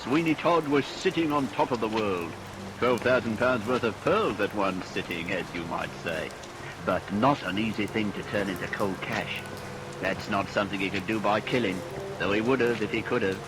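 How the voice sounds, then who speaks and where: Male narrator, acted, low and wry, scripted vintage radio storytelling over loud music and rain. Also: moderate pace, close to an everyday voice, low-quality recording.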